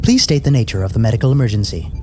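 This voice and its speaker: Man acting a brisk ship's doctor, noisy recording. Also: mid-range voice, lively intonation, close to an everyday voice. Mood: brisk, clinical. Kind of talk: fan sci-fi audio drama acting.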